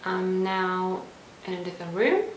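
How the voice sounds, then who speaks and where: A young woman from Lithuania vlogging a casual to-camera Q&A at home, calm and reflective as she reads out each question and answers it. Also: lowish voice, measured pace, slight background noise. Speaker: lifestyle vlogger. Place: indoor room at home. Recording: echoey room, voice a bit distant.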